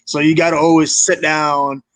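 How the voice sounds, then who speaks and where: Higher-pitched young man, earnest and informal. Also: level tone, normal speed, fairly bright tone. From Kenya. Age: young adult. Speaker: interview guest, wrestler. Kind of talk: casual chat.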